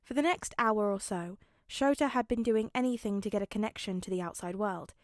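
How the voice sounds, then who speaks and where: A woman's voice: medium pitch, a little fast, dry recording, studio quality. A woman narrating a scripted story as an audio fiction reader.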